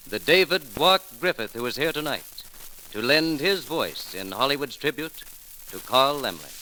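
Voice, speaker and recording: A man's voice: on the high side, bright, forceful tone. A man narrating a scripted tribute in a formal, oratorical 1930s radio announcer style on a crackly old broadcast. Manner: formal, oratorical, rhetorical repetition.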